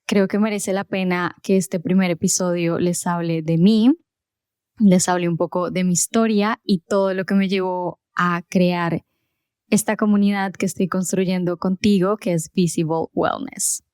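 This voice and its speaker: A woman's voice: on the low side, fairly even intonation, measured pace, a little mellow, clear, resonant tone, dry recording, clean studio sound. A woman, hosting her own podcast and telling her personal story in an earnest, conversational way. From Colombia. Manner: conversational, earnest, with small fillers. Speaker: podcast host and health coach.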